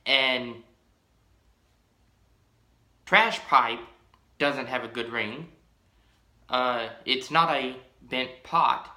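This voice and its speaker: A young man talks slowly and loosely to a fixed camera in a small home room, trailing off into ums and restarts. His voice is bright and forward. The room gives it a noticeable echo. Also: mid-range voice. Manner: rambling, drawn-out, many ums. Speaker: pipe-collecting vlogger. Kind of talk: casual show-and-tell.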